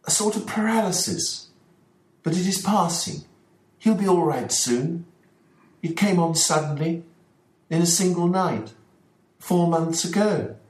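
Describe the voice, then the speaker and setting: A man reading an audiobook voices a distraught, desperate middle-aged husband, pitched well above his own voice. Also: measured pace, long pauses. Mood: worried. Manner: pleading, shouting. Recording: roomy echo.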